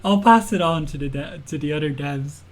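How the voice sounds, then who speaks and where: A man's voice: a little high, moderate pace. A young man, a game developer, gives a casual livestream tutorial, thinking aloud with fillers, laughing often and answering chat. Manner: chatty, giggly, thinks aloud with many fillers.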